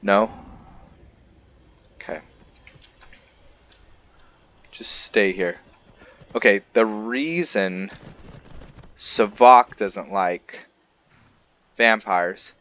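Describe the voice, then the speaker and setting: A man's voice: fairly low pitch, extremely slow, pauses often, a bit bright, lo-fi sound. A man giving casual, rambling gameplay commentary, chatting informally to his viewers as the host. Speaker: gaming video host.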